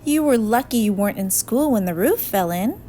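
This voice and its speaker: A woman's voice: fairly high pitch, expressive, fairly quick, warm tone, faint music behind, natural voice. A woman voicing a cheeky, nosy little girl from a storybook, teasing and bluntly inquisitive.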